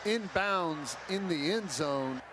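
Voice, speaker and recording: An American man with a fairly high voice breaks down football plays in a conversational, explanatory way. Clear stadium noise sits behind his commentary. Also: lively intonation, slow. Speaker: color analyst. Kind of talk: live football analysis. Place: stadium broadcast booth.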